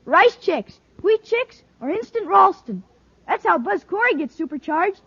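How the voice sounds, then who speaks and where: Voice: very high-pitched, sing-song, a little slow, vocal fry, sharp tone, exaggerated voice. A performer playing a bubbly, confident young boy in an old radio commercial, chirpy and enthusiastic. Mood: peppy. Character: bubbly, cocky young boy athlete in cereal ad. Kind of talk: mid-century radio commercial acting. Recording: muffled old radio recording.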